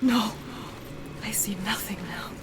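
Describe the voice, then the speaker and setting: An actress playing a grief-stricken, haunted young warrior woman in a video game, with a breathy, strained, half-whispered voice. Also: medium pitch, slow pace, close to an everyday voice. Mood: despairing. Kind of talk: dramatic game voice acting. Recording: noisy ambience behind voice.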